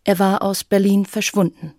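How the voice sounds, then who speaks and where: A woman's voice: mid-range voice, flat delivery, normal speed. A woman narrating a radio drama in a scripted, report-like style.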